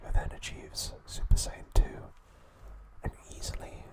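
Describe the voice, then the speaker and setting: A man whispers throughout in an ASMR style, hushed and unvoiced. He narrates like a trivia host, taking his time and phrasing each piece deliberately, with pauses in between.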